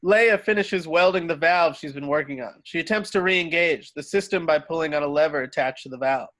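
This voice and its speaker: A man's voice: fairly high pitch, brisk, a bit bright. A man reading stage directions as the narrator of an amateur screenplay table read.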